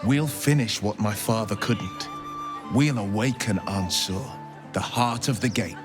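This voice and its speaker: A man's voice: on the low side, measured pace, close to an everyday voice. A man playing an earnest, gallant young nobleman adventurer in a fantasy video game, with a theatrical, florid way of speaking. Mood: resolute. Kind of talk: dramatic video game cutscene acting. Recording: music behind the voice.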